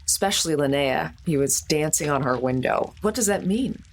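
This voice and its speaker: A woman's voice: low voice, lively intonation, moderate pace. A woman playing a tabletop game, improvising her character's actions and words. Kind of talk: improvised roleplay, describing and voicing her character.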